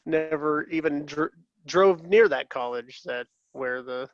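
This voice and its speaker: A man's voice: on the high side, a little slow, dry recording, dull audio. A man co-hosting a casual comedic podcast, wry and self-deprecating in the way he talks. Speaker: podcast co-host.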